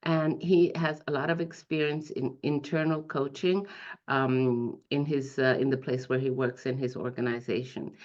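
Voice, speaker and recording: A woman hosting and introducing an interview, speaking in a polite and measured way. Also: sits low. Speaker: interviewer, executive leadership coach. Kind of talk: interview host. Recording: dull lo-fi audio.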